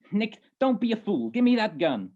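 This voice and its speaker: A woman's voice: medium pitch, sing-song, takes it slowly. A woman playing an alarmed friend in a radio crime drama, urgent and imploring, in slangy period lines. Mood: urgent, pleading. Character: alarmed friend pleading with an armed man. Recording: dull lo-fi video-call audio.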